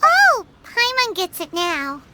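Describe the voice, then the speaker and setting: A woman voicing a tiny, cheeky fairy companion with a squeaky childlike voice in a video game. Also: huge pitch swings, takes it slowly, very noisy, full character voice. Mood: curious, puzzled. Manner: cheeky, speaks of herself in third person. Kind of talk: cartoonish video game voice acting. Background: prominent game music.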